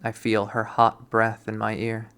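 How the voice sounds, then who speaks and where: A man's voice: fairly low pitch, completely flat delivery, unhurried, fairly bright tone, clear, resonant tone. Wry young man reading a story. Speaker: narrator reading a visual novel. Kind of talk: first-person story reading.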